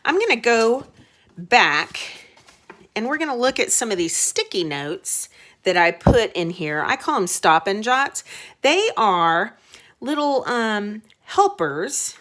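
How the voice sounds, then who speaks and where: A woman is giving a reading lesson for young children. Her pace is unhurried and her pitch moves up and down a great deal. She explains in plain conversational language, dropping in short questions to check understanding. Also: big pitch swings, fairly bright tone. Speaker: elementary school teacher.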